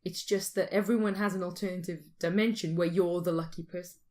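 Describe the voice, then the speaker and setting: A woman's voice: lowish voice, steady tone, a little fast, darkish tone. A woman co-hosting a podcast in casual, teasing, informal conversation. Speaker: podcast co-host.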